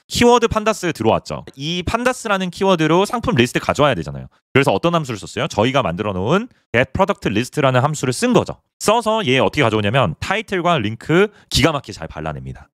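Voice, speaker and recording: A man's voice: medium pitch, dry recording, studio quality. A man hosting a coding tutorial, walking through code on screen and answering his own questions as he explains. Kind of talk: screen-recorded coding walkthrough.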